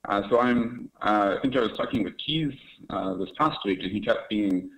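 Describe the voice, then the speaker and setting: Deep male presenter from Canada on a webcam call, talking through slides with many fillers. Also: moderate pace, a bit bright, muffled recording. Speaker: academic presenter. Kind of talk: research presentation over video call. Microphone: webcam on video call.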